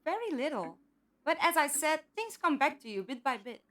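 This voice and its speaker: High, expressive, earnest young-sounding heroine acted by a woman. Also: moderate pace. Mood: angry. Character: Five, earnest indignant female abductee with amnesia. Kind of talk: audio drama acting.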